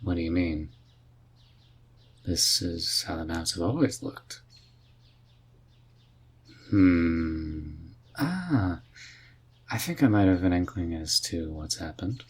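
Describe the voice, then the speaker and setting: A man performs an easygoing, curious male mage for a fantasy audio roleplay. The voice is deep and breathy, and the delivery is slow and musing. He carries a dry, gently teasing streak throughout. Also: animated, full character voice. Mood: puzzled. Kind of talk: roleplay audio drama addressed to the listener.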